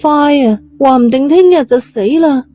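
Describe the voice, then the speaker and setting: A woman acts out a desperate, defiant eighteen-year-old girl in an audio story. The voice sits high and presses forward with blunt, pleading urgency. It stays soft-edged rather than sharp, with light music underneath. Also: normal speed, dry recording, lightly acted.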